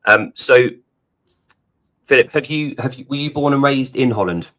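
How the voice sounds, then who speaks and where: A man hosting a podcast, asking questions in a measured way. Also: mid-range voice, a bit bright. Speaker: podcast host. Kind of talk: interview.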